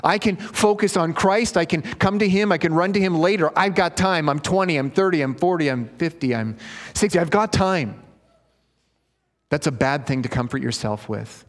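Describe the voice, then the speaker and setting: A man's voice: on the high side, normal speed. A male pastor preaching a sermon, reading scripture and then explaining it in an earnest, conversational way. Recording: long hall reverb.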